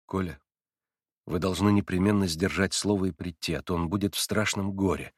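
Man voicing a gentle insistent young man. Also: sits low, steady tone, brisk, no echo, studio quality. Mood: earnest. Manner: insistent, quiet.

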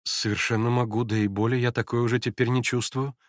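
A gentle young novice monk answers in a quiet, mild, restrained voice, as a male narrator plays him. It is recorded dry and close, with no room around it. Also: medium pitch, flat delivery, fast talker, no echo, close to an everyday voice.

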